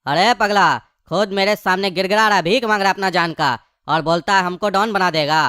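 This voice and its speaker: A man's voice: high voice, steady tone, fairly quick, pushed and bright, clear, resonant tone, dry recording, clean studio sound. A man voicing a menacing cartoon gangster boss, cold, commanding and threatening. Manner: threatening, bossy. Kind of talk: comedy cartoon voice acting.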